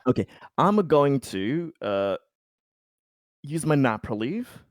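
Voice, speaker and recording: A man's voice: mid-range voice, animated, takes it slowly, no room sound, studio quality. A man chatting casually as a player in a tabletop game, swearing freely.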